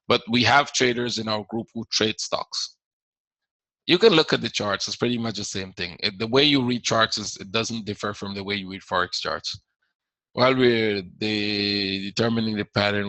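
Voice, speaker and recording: A man's voice: fairly low pitch, pushed and bright, dry recording, low-quality recording. Caribbean-accented man hosting a webinar. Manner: explains casually, repeats words, reads out viewer questions. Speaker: trading webinar host. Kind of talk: live webinar Q&A.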